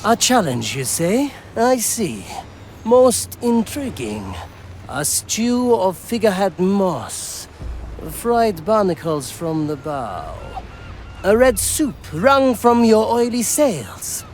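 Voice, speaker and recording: An actor playing a courteous, proud assassin who cooks aboard a ship in a video game, formal and measured. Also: male voice, sits high, big pitch swings, a little mellow, a little hiss or hum, heavily acted. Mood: intrigued, proud. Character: courteous, proud Japanese assassin and ship's cook.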